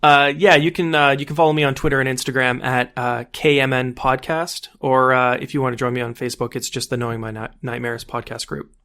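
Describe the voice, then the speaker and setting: A man's voice: medium pitch, fairly even intonation, a little fast, few pauses, pushed and bright, clean tone. A man chatting casually as a podcast guest, in a rambling, anecdotal way. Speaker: podcast guest who hosts his own podcast. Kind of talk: casual chat.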